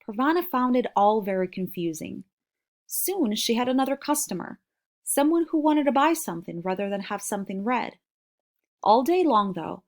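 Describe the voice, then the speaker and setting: A woman reading a children's novel aloud as a storybook narrator. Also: medium pitch.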